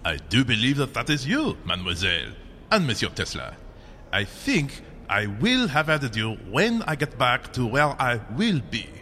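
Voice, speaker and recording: Radio drama French gentleman painter: bewildered, polite, bright forceful tone, faint noise behind. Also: medium pitch, huge pitch swings, heavily acted. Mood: curious. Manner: halting, wondering, courteous. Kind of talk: old-time radio adventure acting.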